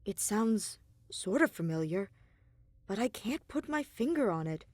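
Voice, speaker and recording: A woman's voice: mid-range voice, very animated, a little slow, a little mellow, no room sound. An anxious young man played by a woman speaks earnestly and hesitantly in audiobook dialogue. He sounds unsure yet determined, recorded close and dry. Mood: uneasy, puzzled.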